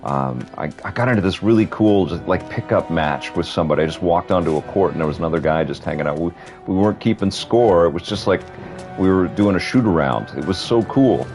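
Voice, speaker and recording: A deep-voiced man hosting a live game stream, talking in a loose, rambling way full of fillers. His voice sits very low. Faint game music plays behind him. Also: lively intonation, brisk, a little mellow. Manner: rambling, conversational, lots of fillers. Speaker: stream host. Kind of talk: live gameplay commentary.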